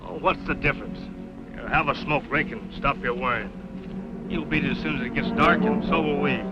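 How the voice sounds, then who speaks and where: A man's voice: on the high side, fairly quick, close to an everyday voice. A man playing a cocky, unbothered thief in a 1950s radio drama, smug and dismissive. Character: cocky, unbothered male tractor thief boasting of his scheme. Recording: muffled old radio recording, loud music.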